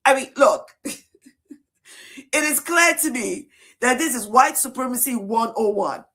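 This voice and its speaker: A woman's voice: fairly high pitch, lively intonation, slow pace, fairly bright tone. A woman giving indignant, cutting political commentary in a video.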